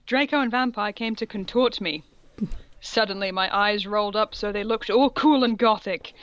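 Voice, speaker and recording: A woman's voice: medium pitch, normal speed, clean tone, no echo, highs cut off. A woman co-hosting a comic reading of fan fiction, narrating mock-seriously and giggly, with asides. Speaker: co-host.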